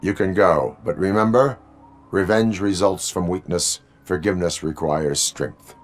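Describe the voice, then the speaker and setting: Deep, deadpan cyborg cop. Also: male voice, faint music behind. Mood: stern, flat. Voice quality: deep, measured voice. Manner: deadpan, formal, robotic. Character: stern, law-bound male cyborg police officer. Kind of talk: video game acting.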